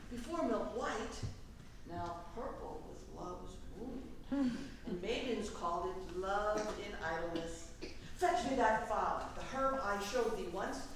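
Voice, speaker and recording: A woman's voice: a little high, lightly acted. A woman playing an imperious, scheming fairy king in a declamatory staged reading of Shakespearean verse. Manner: declamatory, theatrical. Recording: echoey room from far off, faint noise.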